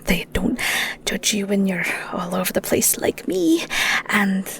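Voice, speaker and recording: A woman with a Scottish accent playing a shy, flustered young werewolf shepherd in an intimate audio roleplay, stammering awkwardly in a soft, breathy voice. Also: medium pitch, exaggerated voice. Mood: sheepish. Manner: stammering, giggly, awkward, hesitant.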